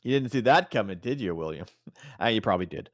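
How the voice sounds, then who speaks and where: A man's voice: fairly low pitch, expressive, fast, no room sound, studio quality. A man playing in a tabletop game, cracking jokes and teasing the others. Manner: joking, teasing. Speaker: player. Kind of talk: casual tabletop game chat.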